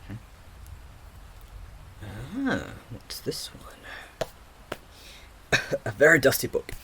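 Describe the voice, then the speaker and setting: A man playing a restless, overthinking investigator with magical sight in an audio drama, muttering haltingly to himself. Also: mid-range voice, lively intonation, a bit bright, slight background noise, exaggerated voice. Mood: searching, muttering.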